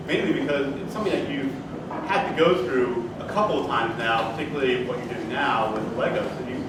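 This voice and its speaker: A man hosting and moderating a meetup panel, projecting a loud, upbeat voice. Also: mid-range voice. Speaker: event host and moderator. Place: large open office event space with audience. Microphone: handheld mic heard from a distant camera. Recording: echoey room from far off with noise.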